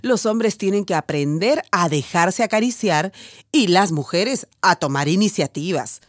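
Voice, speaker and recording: A woman's voice: on the low side, animated, unhurried, slight background noise. An actress playing a frank, playful woman doctor who hosts a radio advice show in a teasing, instructive way. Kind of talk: radio drama advice programme.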